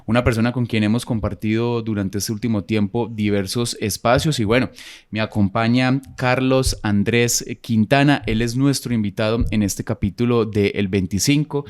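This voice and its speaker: Podcast host, low-pitched man. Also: fairly even intonation, moderate pace. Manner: formal, welcoming, long framing questions. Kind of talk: video podcast interview.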